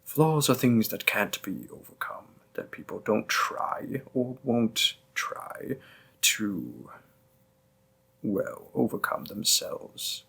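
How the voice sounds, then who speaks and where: A man playing a gentle, affectionate demon boyfriend in an intimate comfort roleplay, tender and soothing, breathy in places. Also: medium pitch, exaggerated voice. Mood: gently firm.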